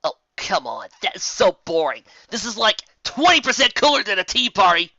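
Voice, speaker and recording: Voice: mid-range voice, very animated, normal speed, pushed and bright, dry recording, dull audio, pitched above the performer's own voice. One reader doing a cool, cocky adventurer mare. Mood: smirking. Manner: sardonic, casual.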